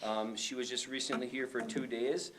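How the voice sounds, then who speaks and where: A man's voice: mid-range voice, fairly even intonation, normal speed, fairly bright tone, a little hiss or hum. Chatty man reporting with many fillers. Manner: loose and conversational, frequent fillers. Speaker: high school principal. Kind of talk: informal report to a school board. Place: meeting room.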